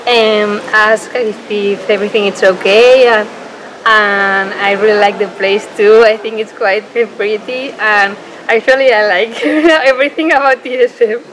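A woman's voice: mid-range voice, fairly even intonation, unhurried, fairly bright tone. A young woman from Spain, an exchange student, answering hesitantly in an outdoor courtyard. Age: young adult. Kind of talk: casual interview answers. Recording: noisy outdoor recording.

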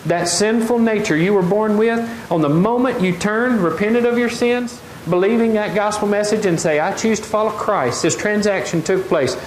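A middle-aged man preaching a lively sermon, often asking the congregation questions. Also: high voice, normal speed, few pauses. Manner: animated, gestures widely, asks the congregation questions. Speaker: pastor. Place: large wooden open-air church hall. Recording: echoey hall, faint noise.

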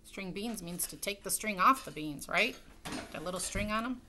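A woman's voice: mid-range voice, animated, moderate pace, bright, forceful tone. A middle-aged woman reading a children's storybook aloud at home, with casual asides to her listeners. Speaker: story time reader. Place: room at home by a window. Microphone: webcam at desk distance. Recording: webcam sound with faint noise, clicks.

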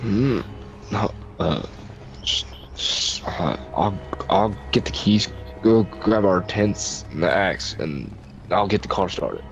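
A man playing a gruff, weary father in an improvised zombie game roleplay, terse and mumbling. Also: sits low, slow pace, faint music behind, rough recording. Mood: groggy, urgent.

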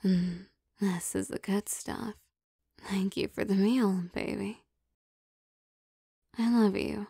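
A woman playing an affectionate, possessive vampire girlfriend in an intimate ASMR roleplay, speaking in a soft, teasing, coaxing manner. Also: medium pitch, steady tone, takes it slowly, vocal fry, pauses often, breathy edge, no room sound, studio quality, full character voice. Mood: coaxing, tender. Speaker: voice actor.

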